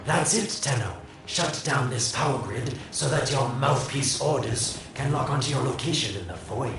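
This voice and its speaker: An actor playing a sly, condescending schemer in a video game, sardonic and theatrical, heard as a transmission. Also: male voice, medium pitch, expressive, moderate pace, gravelly, very noisy. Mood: smug instructing. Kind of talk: video game character acting. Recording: echoey distant transmission, loud music.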